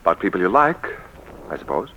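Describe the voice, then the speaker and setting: An actor playing a flustered, lovelorn businessman in 1940s radio drama style, with hesitant, halting delivery. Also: low-pitched, big pitch swings, bright, forceful tone, full character voice. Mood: awkward, resigned. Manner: hesitant, halting when emotional. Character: flustered, lovelorn businessman, impatient boss, adult man. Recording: noisy vintage 1940s radio recording.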